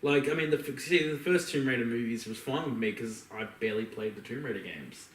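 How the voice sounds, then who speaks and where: A man's voice: medium pitch, a little fast, a bit bright, far from the mic. A man co-hosting a podcast, chatting casually in a rambling, emphatic, sweary way. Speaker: podcast co-host. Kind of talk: casual opinionated chat about films. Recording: roomy echo, off-mic.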